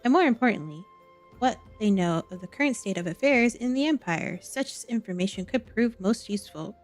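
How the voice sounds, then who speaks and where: A woman's voice: a little high, lively intonation, faint music behind, close to an everyday voice. A woman voicing a formal, self-assured diplomat from a fantasy game, reading the dialogue aloud and sometimes stumbling on words. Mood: self-assured, businesslike. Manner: formal wording, halting over words.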